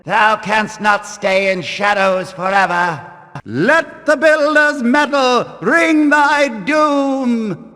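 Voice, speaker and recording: High-pitched zealous guard, long reverb. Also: male voice, sharp tone, heavily acted. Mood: menacing, searching, then outraged, commanding. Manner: declamatory, archaic, commanding speech. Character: zealous male religious guard hunting thieves, stern and combative. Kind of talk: video game voice acting, archaic battle barks. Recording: reverberant hall-like echo.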